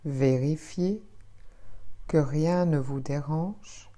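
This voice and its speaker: A woman leads a guided relaxation as a yoga teacher. Her voice is low and soft-toned, hushed and soothing. She speaks extremely slowly and in a measured way, gently repeating her phrases.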